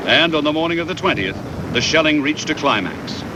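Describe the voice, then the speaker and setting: A man reading scripted historical documentary narration in a measured, formal way with deliberate pauses, on a VHS transfer. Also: a little high, lively intonation, heavy background noise.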